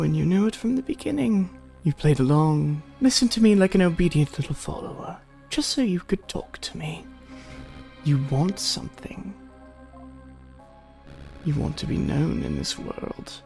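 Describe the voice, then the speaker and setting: A streamer voicing a mocking, sinister male digital villain who talks in slow taunts and drops to whispers. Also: medium pitch, expressive, creaky, a little mellow, soft background music. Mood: manipulative. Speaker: streamer voicing a visual novel.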